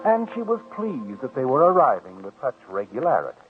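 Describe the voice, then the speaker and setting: An actor playing a knowing, ominous mystery storyteller, narrating 1940s radio drama in a deliberate, insinuating manner. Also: male voice, mid-range voice, wildly swooping pitch, over music. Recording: tinny old radio recording.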